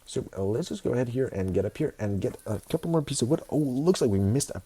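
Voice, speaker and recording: A young man with a stuffy nose from a cold, casually narrating his building game, excitable and fond of repeating words. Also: mid-range voice, fast, breathy edge. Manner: excitable, repeats words, keeps saying guys. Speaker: gaming video host. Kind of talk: casual Minecraft commentary.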